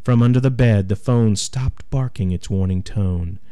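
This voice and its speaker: A man's voice: lowish voice, normal speed, warm tone, clean tone. A man narrating a scripted suspense story in a dramatic storytelling style. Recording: noisy, ambient sound behind voice.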